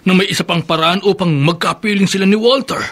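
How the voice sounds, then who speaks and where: Husky, high-pitched sorrowful father. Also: quick pace, a bit bright. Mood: sorrowful, reproachful. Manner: mournful, reproachful. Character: sorrowful father, bitterly questioning heaven's cruelty. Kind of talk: Filipino horror radio drama acting.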